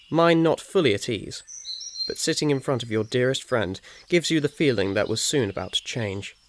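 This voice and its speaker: A man reading a scripted second-person ASMR story as its narrator. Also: medium pitch. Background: birdsong, crickets and faint noise.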